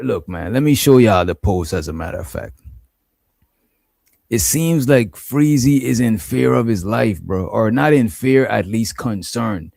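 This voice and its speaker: Gravelly laid-back male stream host. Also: medium pitch, a little mellow, no echo. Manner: loose, drawling, talks to the chat. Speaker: livestream host. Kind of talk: casual stream commentary.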